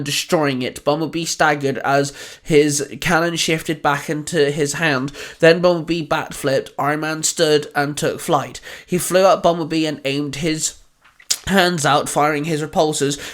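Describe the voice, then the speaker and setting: A man's voice: a little high, fairly bright tone. A man hosting and narrating fan fiction audio stories in a scripted read.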